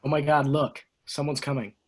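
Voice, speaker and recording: A man playing an excitable high school boy in an amateur script table read. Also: normal speed, slightly rough. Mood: eager.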